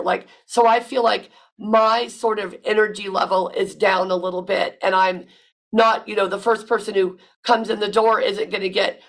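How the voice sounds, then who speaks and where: A woman hosting a podcast, talking with loud, emphatic energy and repeating words when she stresses a point. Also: medium pitch, creaky. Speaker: podcast host. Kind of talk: casual podcast monologue.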